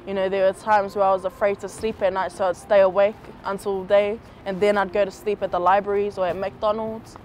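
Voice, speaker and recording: A young man, talking casually and softly in an outdoor street interview with relaxed slang. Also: high voice, fairly quick, few pauses, slight background noise. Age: young adult. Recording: music bed underneath.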